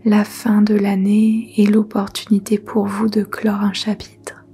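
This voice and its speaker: Woman, meditation guide, even mellow tone, breathy near-whisper, gentle, faint music behind. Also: medium pitch. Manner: soothing, gentle, unhurried instruction. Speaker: yoga nidra guide.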